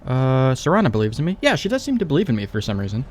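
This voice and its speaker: A man's voice: mid-range voice, wildly swooping pitch, very fast. A man doing casual let's play commentary, laid-back and slangy, thinking aloud as he goes. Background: faint game ambience.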